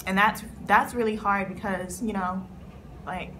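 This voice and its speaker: A woman in an interview, speaking in a mid-pitched, candid and heartfelt way. She leans on "like" as she searches for words. Clear ambient noise runs behind her. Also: steady tone, measured pace, sharp tone. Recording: noisy ambient background. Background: background noise.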